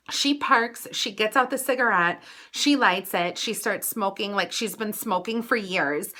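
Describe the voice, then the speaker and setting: An American woman vlogger telling a personal story casually, in a chatty, animated way with dramatic emphasis. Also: on the high side.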